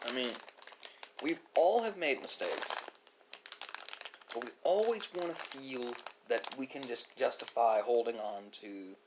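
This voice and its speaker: A man giving a reflective, philosophical monologue as an online host, speaking haltingly with drawn-out pauses. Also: medium pitch, noisy background. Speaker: host of an ASMR mukbang channel.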